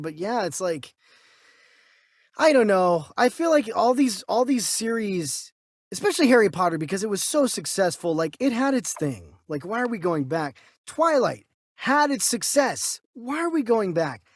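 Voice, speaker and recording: A man's voice: high-pitched, sing-song, moderate pace, no echo, clean studio sound. A man co-hosting a casual movie news discussion, giving opinions emphatically and repeating himself to drive points home. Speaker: movie news podcast co-host.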